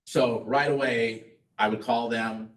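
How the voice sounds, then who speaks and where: A man's voice: medium pitch, steady tone, measured pace, distant mic. A middle-aged man giving a casual community class, talking conversationally and mixing in personal stories and rhetorical questions. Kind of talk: casual educational talk. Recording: roomy echo, voice off-mic.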